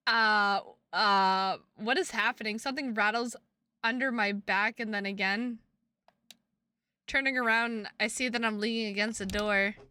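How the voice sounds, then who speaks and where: A woman's voice: medium pitch, steady tone, unhurried, sharp tone, clear, resonant tone. A woman streaming a visual novel, reading the text aloud and chatting to viewers with playful, goofy asides, on a close microphone. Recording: music behind the voice.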